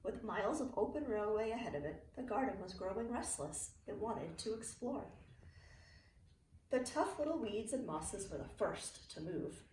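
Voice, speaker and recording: A woman's voice: mid-range voice, moderate pace. Woman reading aloud expressively in an echoey room. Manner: expressive, clearly phrased storytelling for young children. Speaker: early learning teacher reading to students. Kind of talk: children's picture book read-aloud. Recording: roomy, distant mic with faint noise.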